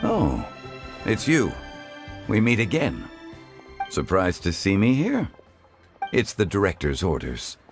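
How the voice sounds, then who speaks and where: Dignified game scholar, very low voice, measured, formal, calm. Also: male voice. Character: calm, dignified, older-seeming male scholar.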